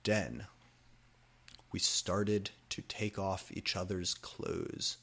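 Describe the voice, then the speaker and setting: A man's voice: low-pitched, fairly even intonation, drawn-out words, gravelly, pushed and bright, breathy edge. A man reading fan fiction aloud as a dramatic comic narration, sounding out garbled words exactly as written. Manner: reads misspellings and typos aloud literally.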